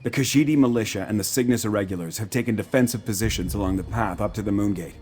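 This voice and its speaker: An actor playing a measured, resolute military commander in a fantasy video game. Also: male voice, on the low side, darkish tone, lightly acted. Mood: grave, measured.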